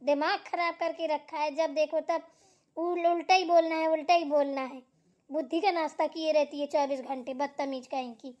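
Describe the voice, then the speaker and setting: A woman's voice: high-pitched, steady tone, normal speed, close to an everyday voice. A woman voicing a bossy, scolding elder sister-in-law in a Hindi family drama cartoon, hectoring and preachy. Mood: furious scolding. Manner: hectoring, sharp-tongued, preachy.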